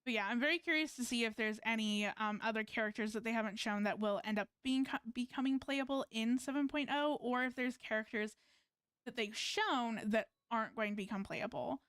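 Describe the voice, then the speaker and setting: A woman's voice: a little high, a little fast, a bit bright, smooth, no echo. A young woman from Canada streaming a game, chatting casually with viewers in a rambling, hesitant way full of fillers and restarts. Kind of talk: casual gameplay chat with viewers.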